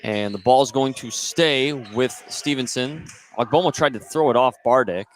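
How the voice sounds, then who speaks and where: A man's voice: medium pitch, moderate pace. A man calling live basketball play-by-play for a radio broadcast. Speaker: play-by-play announcer. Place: indoor basketball gym, live game. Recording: noisy live gym broadcast.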